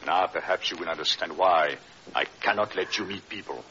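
An actor playing a controlling, coldly menacing husband in a 1940s radio drama, grave and deliberate, feigning concern. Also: male voice, deep voice, sharp tone. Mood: domineering. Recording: hissy, dull old radio recording.

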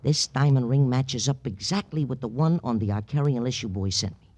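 An American actor playing a nervous, stammering, tight-fisted pawnshop owner with an oily, wheedling manner in a 1950s radio drama. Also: male voice, medium pitch, brisk, darkish tone, a little hiss or hum, natural voice. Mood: anxious, eager.

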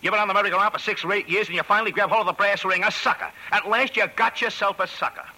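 An actor playing a tough, sardonic American carnival barker, slangy and hard-bitten, in a 1940s radio drama. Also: male voice, sits high, racing, sharp tone, heavily acted, rough recording. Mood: accusing.

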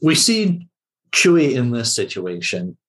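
A man with a medium-pitched voice talks as a guest on a casual podcast. He muses aloud, haltingly, feeling his way through his thoughts. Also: very animated, fairly bright tone.

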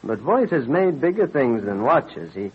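Casting: an elderly, humble craftsman, husky mid-pitched voice, courteous and hesitant, muffled vintage radio recording. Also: sing-song, measured pace. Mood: helpful, deferential. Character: modest, deferential elderly clockmaker, reluctant but dutiful. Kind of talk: 1930s-40s radio drama acting.

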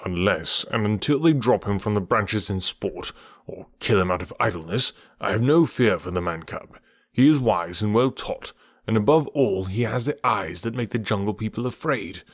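A man voicing an anxious, fussing old male bear who wails and laments loudly in a storybook reading. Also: animated, no room sound, clean studio sound. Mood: urgent. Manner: loud, high and wailing, drawn-out cries.

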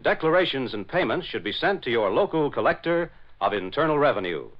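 Dramatic, animated American radio announcer man. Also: mid-range voice, a little fast. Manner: dramatic, formal enunciation. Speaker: announcer and narrator. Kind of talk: 1940s radio announcing, scripted narration. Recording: dull lo-fi 1940s radio broadcast.

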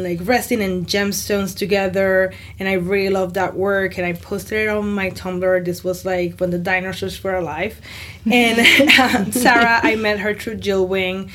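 Woman podcast host, mid-pitched and effusive, lots of delighted exclamations. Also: fairly bright tone. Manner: gushing, exclaims often. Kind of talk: scripted intro, then casual interview chat.

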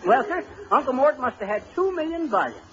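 A man's voice: very high voice, sing-song, brisk. An actor playing a folksy, blustering husband who mangles his grammar and spins tall tales in an old-time radio comedy. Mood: boastful storytelling. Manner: folksy, bungling, chuckling braggart. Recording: muffled, noisy vintage radio recording.

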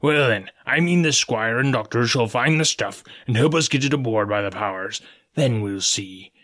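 A man's voice: mid-range voice, moderate pace, fairly bright tone, close to an everyday voice. Guy reading as a bossy crafty pirate cook, scolding sailor talk, storybook villain. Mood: exasperated scheming. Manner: scheming, scolding, rustic seafaring speech. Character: cunning, domineering old pirate ship's cook, male.

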